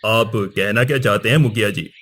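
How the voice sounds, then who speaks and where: A man's voice: lowish voice, normal speed, fairly bright tone. A man playing a stern, dignified middle-aged king and father in a cartoon moral story, speaking formally with authority. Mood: questioning.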